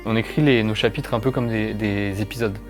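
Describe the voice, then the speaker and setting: Over a music bed, a man with a fairly low voice explains things in an interview. He is a game developer, phrasing his points thoughtfully and with some hesitation. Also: fairly even intonation, a little fast.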